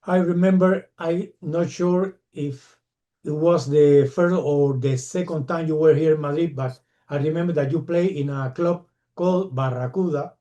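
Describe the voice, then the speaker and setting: Mellow-voiced man interviewing. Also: on the high side. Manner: halting, repeats words. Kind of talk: interview questions.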